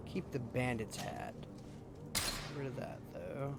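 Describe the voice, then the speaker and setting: Creaky-voiced man narrating his gameplay. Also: mid-range voice, measured pace. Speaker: gameplay streamer. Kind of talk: casual gameplay commentary, thinking aloud. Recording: noisy mic over game sound.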